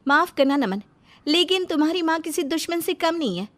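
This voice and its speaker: A woman voicing an exasperated, sharp-tongued young working wife who speaks emphatically in a dramatized story. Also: extremely high pitch, animated, fairly quick, slight background noise. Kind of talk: dramatized Hindi moral story.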